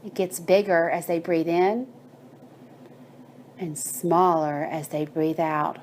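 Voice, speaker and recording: A woman narrating a bedtime story and guided meditation in a soothing, measured manner with drawn-out phrases. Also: deep voice, fairly even intonation, a little mellow, slight background noise.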